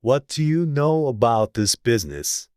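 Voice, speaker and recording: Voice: medium pitch, very animated, a little slow, a little mellow, clean tone, no echo, clean studio sound. A narrator voicing a pompous, blustering and muddled king in a storybook, with an emphatic manner.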